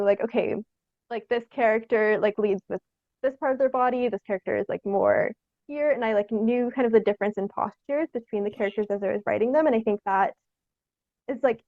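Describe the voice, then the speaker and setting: High-voiced young woman, thinking aloud. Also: creaky, no echo, highs cut off. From Sault Ste. Marie, Canada. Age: young adult. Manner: halting, thinking aloud, frequent "like". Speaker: theatre artist being interviewed. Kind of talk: casual interview chat.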